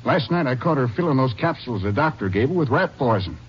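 An actor with an American accent playing an agitated, guilty husband who pleads and makes excuses in a 1950s radio drama. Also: male voice, medium pitch, fast, slight background noise, natural voice. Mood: defensive, agitated. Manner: pleading, defensive, excitable. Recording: dull old 1950s radio recording.

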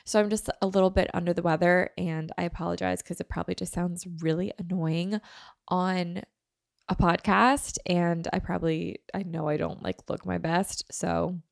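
A woman's voice: fairly low pitch, steady tone, moderate pace, vocal fry, no room sound, studio quality. A young woman hosting her own podcast, talking casually with lots of fillers and sounding nasal from a head cold. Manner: chatty, many fillers. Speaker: podcast host. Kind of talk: casual solo podcast chat.

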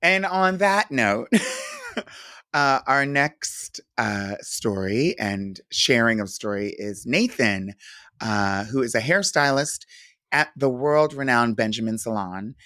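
A woman co-host speaks very slowly with a bright, forward tone on a dry, close mic. She is soft-spoken and gently amused, and she trails into laughing asides between thoughts. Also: very deep voice, lively intonation, dry recording. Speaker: podcast co-host. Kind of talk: casual chat and introduction.

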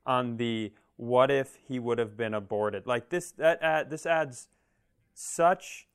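Smooth-voiced man riffing on a comedy podcast. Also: medium pitch, slow pace. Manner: joking, rambling, self-deprecating. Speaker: podcast co-host. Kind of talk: casual comedic chat, riffing.

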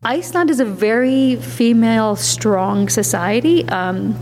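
A woman's voice: fairly high pitch, measured pace, darkish tone, slight background noise. Chatty woman heard from a reverberant distance. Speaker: heritage museum head. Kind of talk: casual interview.

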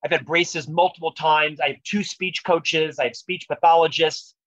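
A man's voice: a little high, fairly even intonation, normal speed, a bit bright, no echo. A man with a lifelong stutter, speaking earnestly and reflectively as an author being interviewed about leadership. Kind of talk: podcast interview conversation.

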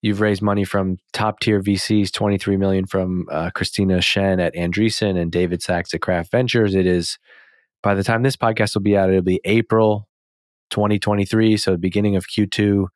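A man's voice: sits low, steady tone, moderate pace, no echo, clean studio sound. A man hosting a podcast interview, asking questions and commenting in a casual, conversational way.

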